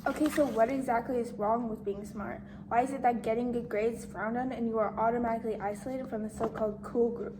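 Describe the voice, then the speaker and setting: A woman's voice: on the high side, fairly even intonation, fast, hardly any pauses, close to an everyday voice. Shy bookish schoolgirl, acted by a woman, noisy recording. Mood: wry, frustrated. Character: shy, insecure, bookish teenage schoolgirl, hopeful outcast. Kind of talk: amateur dramatic monologue reading.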